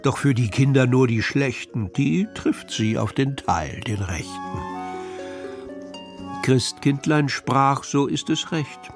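A man reciting Christmas poems for children in an expressive, deliberate, theatrical storytelling manner. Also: medium pitch, over music. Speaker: poetry reader.

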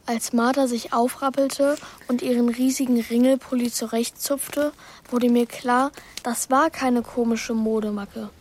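A woman's voice: on the high side, fairly even intonation, measured pace, a little mellow, slight background noise, natural voice. A woman playing Bela, an anxious, thoughtful boy who tells his story in an earnest, hesitant way in a radio play. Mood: uneasy narrating. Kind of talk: radio play acting and first-person narration.

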